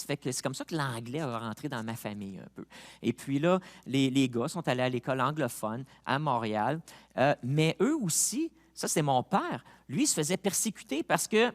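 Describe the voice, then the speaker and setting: A man with a Québécois accent, a pastor preaching a sermon who moves from quiet reflection to loud, rising appeals, with chatty personal asides. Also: on the high side. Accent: Québécois French. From Quebec. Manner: chatty asides with fillers and laughs.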